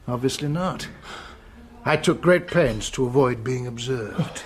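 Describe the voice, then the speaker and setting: An actor playing a mocking, cold-blooded master criminal in a radio drama, urbane and condescending. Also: male voice, medium pitch, measured pace, background noise, close to an everyday voice. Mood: quiet menace.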